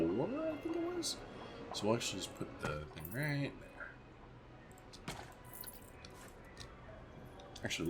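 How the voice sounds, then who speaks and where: A man hosts a casual gaming video in a fairly low voice that swings up and down a lot. He thinks aloud in slangy, informal phrases as he plays. A faint layer of ambient noise sits behind his commentary. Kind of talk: casual let's-play commentary.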